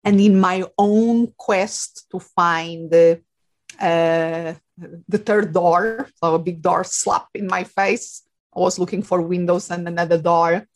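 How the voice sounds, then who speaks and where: A woman's voice: lowish voice, fairly even intonation, slow. Woman with a Portuguese accent. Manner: reflective, with frequent fillers and restarts. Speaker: guest, life coach. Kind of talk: casual interview talk.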